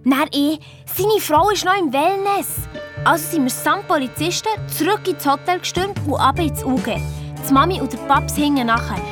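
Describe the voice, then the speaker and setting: A woman's voice: high-pitched, normal speed, music in the background. A woman playing an eager, chatty girl detective with a Swiss German accent, telling her story colloquially in a children's audio drama. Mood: excited. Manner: lively, colloquial retelling, quoting others.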